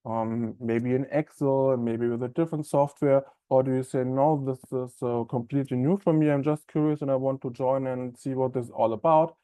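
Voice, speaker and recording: A man with a German accent hosts a live software webinar, talking in a friendly, conversational way and filling his pauses with ums and uhs. His voice is dark and mellow at a middle pitch. The sound is close and dry, like a desk mic on a video call. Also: fairly even intonation, clean tone, no echo. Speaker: software implementation specialist presenting a webinar. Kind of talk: live product webinar presentation.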